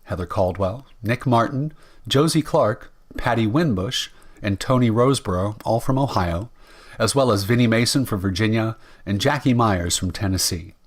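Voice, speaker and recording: A man announcing as host of an old-time radio show, with measured, theatrical phrasing and deliberate pauses. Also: on the low side, breathy edge.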